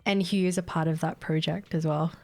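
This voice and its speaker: A woman's voice: low voice, steady tone, a little fast, darkish tone, soft background music. Tired giggly woman streamer. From Australia. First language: Vietnamese. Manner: tired, scatterbrained, giggly, reads chat aloud. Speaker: game streamer. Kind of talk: casual live-stream chat while gaming.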